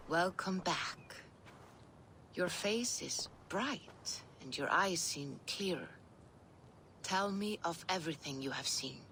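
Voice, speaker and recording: A calm voice asking curious, gentle questions in a video game scene. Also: medium pitch, slow pace, a little hiss or hum, heavily acted.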